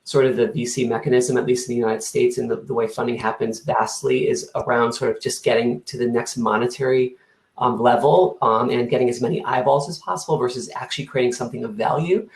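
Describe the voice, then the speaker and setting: A man's voice: mid-range voice, fairly even intonation, brisk, roomy sound, poor audio. A man, a company co-founder, speaking earnestly on an online panel with frequent hesitations, over a video call. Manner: earnest, many fillers and restarts.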